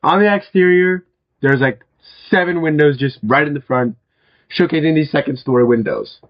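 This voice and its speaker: Hoarse young man giving a game tour. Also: fairly high pitch, normal speed, highs cut off. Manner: chatty, with frequent fillers and throat clearing. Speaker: gaming video host. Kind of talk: casual game walkthrough commentary.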